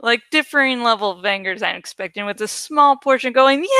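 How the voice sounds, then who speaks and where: Bright-voiced, casually rambling woman. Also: high-pitched, expressive, normal speed, no room sound, studio quality. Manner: self-deprecating, swears freely, thinks out loud. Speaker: video creator and host. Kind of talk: casual rambling commentary while editing artwork.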